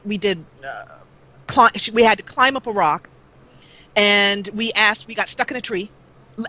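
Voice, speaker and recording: Bright-voiced woman on a live call. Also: mid-range voice, rough recording. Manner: animated, laughs often, rambling restarts. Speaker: guest, let's player. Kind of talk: casual live interview call. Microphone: call-in line.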